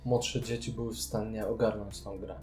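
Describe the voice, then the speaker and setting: A man's voice: on the low side, flat delivery, normal speed, darkish tone, faint music behind. A man chatting casually about board games as a podcast co-host. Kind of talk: casual board game discussion.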